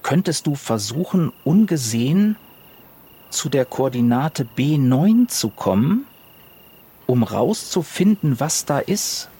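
A man's voice: medium pitch, sing-song, slow pace, warm tone, husky. A man improvising casual in-character talk as a role-play player, hesitant and colloquial.

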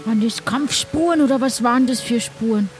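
High-voiced woman in role-play. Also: brisk, soft background music. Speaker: player in an improvised role-play. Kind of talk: casual in-character conversation.

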